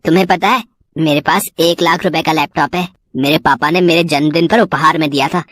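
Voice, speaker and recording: A woman's voice: low-pitched, fairly even intonation, a little fast, a bit bright, dry recording. A woman voicing a bragging young schoolboy in a cartoon story. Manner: bragging, sly. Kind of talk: cartoon voice acting.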